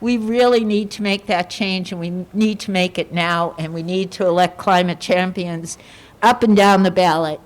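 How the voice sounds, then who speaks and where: A woman's voice: lowish voice, steady tone, normal speed. A woman giving an indignant, urging speech at a political rally. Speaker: rally speaker.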